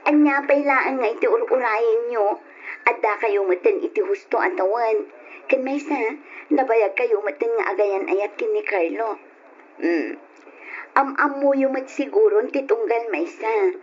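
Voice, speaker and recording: A chatty, teasing Ilocano woman, voiced by an actress in an old-style radio drama. She is high-pitched and gossipy, full of exclamations. The recording is muffled, with slight noise. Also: unhurried, natural voice. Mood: teasing, excited. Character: chatty, teasing woman, the bride-to-be's in-law confidante.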